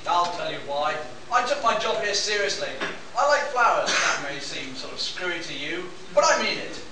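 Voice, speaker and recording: Guy doing a hotheaded indignant pilot in a radio play, highish voice, really echoey room. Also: expressive, moderate pace. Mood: earnest. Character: hot-tempered big bruiser pilot, defensive, passionate about his flowers. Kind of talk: amateur radio play acting. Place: live stage performance at a convention. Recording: distant echoey room, noisy live recording.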